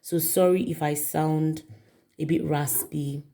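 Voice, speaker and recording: A woman hosting her perfume channel, chatting warmly to viewers in a casual review, her voice raspy from a cold. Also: deep voice, steady tone, slow pace. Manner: friendly, chatty, direct to viewers.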